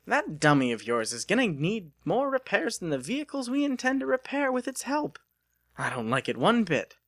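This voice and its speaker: Man putting on a grumbling woman boss, high-pitched. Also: wildly swooping pitch, moderate pace, a bit bright, no room sound, studio quality, heavily acted. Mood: disapproving. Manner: scolding, blunt. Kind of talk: dramatic audiobook character reading.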